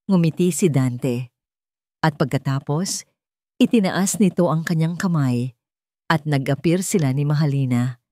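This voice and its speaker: A woman with a Filipino accent reading a scripted dramatic story in a measured, storytelling manner. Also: low voice, mellow, dry recording, clean studio sound. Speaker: storyteller, narrator.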